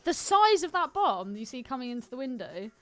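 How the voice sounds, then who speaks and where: A British woman chats casually as a podcast co-host, her voice a little high. She is jokey and swears freely. Music plays faintly behind her. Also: big pitch swings, a little fast. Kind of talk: casual film podcast chat.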